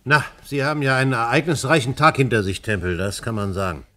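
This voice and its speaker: An actor as a forceful police chief. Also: male voice, medium pitch, quick pace, lightly acted. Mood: confident. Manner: authoritative. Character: brisk, confident chief of Scotland Yard. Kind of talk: old German radio crime drama acting.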